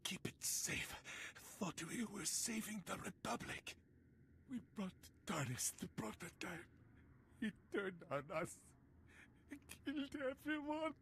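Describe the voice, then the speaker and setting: A voice actor playing a dying, wounded survivor who gasps out an urgent warning in a halting, breathy whisper. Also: mid-range voice, very animated, fairly bright tone, slight background noise, heavily acted. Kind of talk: video game dialogue.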